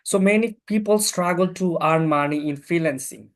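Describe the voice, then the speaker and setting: Interviewer, man, plain measured questions, slightly high voice.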